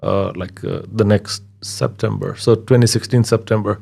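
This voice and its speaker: Guest: relaxed man from Kenya, 30s, lowish voice, easygoing pauses. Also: vocal fry. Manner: relaxed, hesitant with many fillers, laughs easily. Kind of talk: casual interview conversation.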